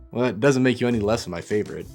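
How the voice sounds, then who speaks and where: Man as an excitable, goofy half-elf boy. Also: medium pitch, fast, soft background music. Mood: excited. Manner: excitable, chummy, slangy. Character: excitable, goofy young male half-elf receptionist, chummy, admiring. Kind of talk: audio fantasy drama.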